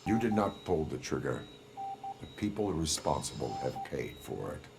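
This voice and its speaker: An extremely deep, gravelly cyborg cop for a video game. He is stoic and formal, speaking in short, measured phrases with a synthetic flatness. Clear noise sits behind the voice. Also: exaggerated voice. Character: stoic cyborg policeman, part man part machine. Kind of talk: video game cutscene acting.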